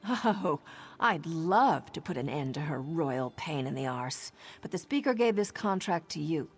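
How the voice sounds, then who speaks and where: A woman's voice: low-pitched, lively intonation, measured pace. A woman playing a confident female assassin informant in a video game, with a sardonic, conspiratorial manner. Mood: sly, confident. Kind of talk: video game character dialogue.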